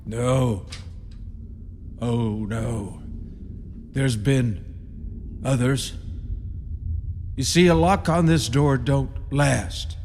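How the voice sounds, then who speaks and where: Guy playing a creepy backwoods caretaker in a radio play, halting and slow, echoey with some hiss. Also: mid-range voice, darkish tone. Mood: grave, warning. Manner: halting, ominous, folksy storytelling. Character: eerie rural male caretaker of a haunted tomb. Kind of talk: radio play horror storytelling. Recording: reverberant radio drama, audible background noise.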